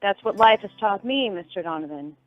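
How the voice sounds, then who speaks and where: A woman's voice: mid-range voice, expressive, a little fast, natural voice. An actress playing a prim, mournful young lady in a radio play, formal and melodramatic in manner. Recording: tinny phone line with music behind.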